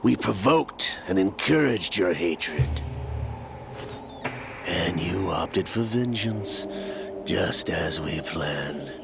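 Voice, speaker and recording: An actor playing a smug, cruel older male villain in a video game, taunting theatrically in a gravelly voice. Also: sits low, lively intonation, exaggerated voice. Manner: slow, taunting, theatrical delivery. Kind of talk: video game cutscene acting. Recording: dramatic music under the voice.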